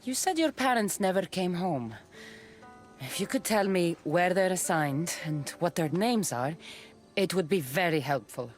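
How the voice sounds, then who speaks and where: Weary female warrior, expressive and unhurried. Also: lowish voice, faint music behind. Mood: gentle, teasing. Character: weary, wry female Greek warrior, compassionate and defiant. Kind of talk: dramatic video game acting.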